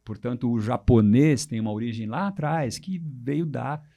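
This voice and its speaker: Brazilian male teacher, mellow and relaxed, thoughtful explainer, podcast guest. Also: medium pitch, moderate pace, creaky. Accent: Brazilian Portuguese. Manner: thoughtful, explanatory, heavy use of tag questions. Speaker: Portuguese teacher. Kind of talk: podcast conversation.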